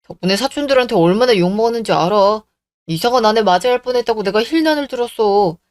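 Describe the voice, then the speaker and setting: A woman's voice: a little high, expressive, fast, dry recording, close to an everyday voice. A woman voicing a whiny, self-pitying young man in a radio drama, pleading and blaming. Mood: resentful.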